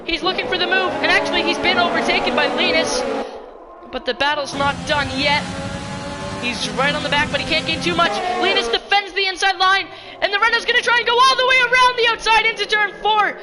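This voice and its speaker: A woman commentating a sim race live, excitable and slightly hoarse from losing her voice. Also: a little fast, over loud music. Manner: excitable, rising pitch in close battles. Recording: loud crowd and engine noise behind. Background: race car engines and crowd ambience.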